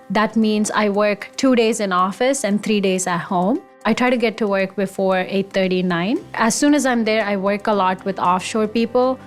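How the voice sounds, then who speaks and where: A woman in her thirties from India tells her career story with eager, chatty energy and the odd filler. Her voice sits at an ordinary middle pitch. Quiet music plays under her. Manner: enthusiastic, conversational with fillers. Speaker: automation engineer. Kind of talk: career interview monologue.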